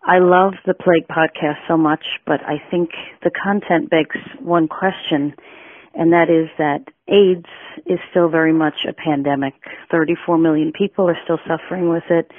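A woman's voice: low-pitched, steady tone, moderate pace, narrow, tinny audio. A woman journalist putting a prepared question to a podcast. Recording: thin radio-like phone sound.

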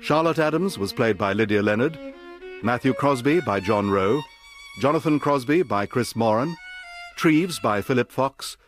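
A man reading scripted closing credits as a formal, measured radio announcer. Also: medium pitch, lively intonation. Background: solo violin music.

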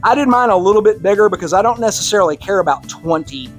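A man with a Texan accent gives a slide talk to a club, loose and conversational. His voice sits a little high for a man. Faint music runs underneath the recording. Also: fast. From Texas. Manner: relaxed, conversational explainer with casual asides. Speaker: presenter at an amateur radio club. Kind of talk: technical slide presentation. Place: club meeting room.

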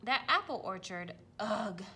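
A woman's voice: medium pitch, sing-song, measured pace, sharp tone, husky, heavily acted. A woman voicing a big male farm tractor in a storybook, grumbling and sighing but good-hearted. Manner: sighing, groaning complaint.

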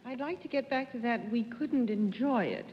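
A woman's voice: fairly high pitch, normal speed, a little hiss or hum. A woman on a quiz-show panel asking probing questions in a television studio. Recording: dull lo-fi 1950s broadcast with hall reverb.

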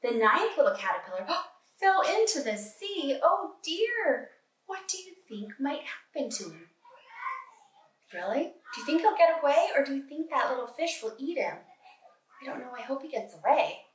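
A woman's voice: sits high, moderate pace, recorded from a distance. A middle-aged woman teaching preschoolers, reading a picture book aloud in an encouraging, exclaiming way with questions for young listeners. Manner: animated, encouraging, exclaiming and gasping, addresses small children. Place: indoor kitchen at home, at a table. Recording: echoey room, away from the mic.

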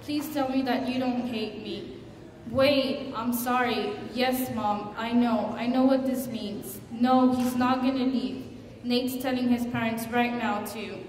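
A woman's voice: a little high, steady tone, a little slow, a little mellow, natural voice. A woman performing a stage monologue as a frightened teenage girl pleading with her parents, hesitant and tearful. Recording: echoey hall, distant mic, faint noise.

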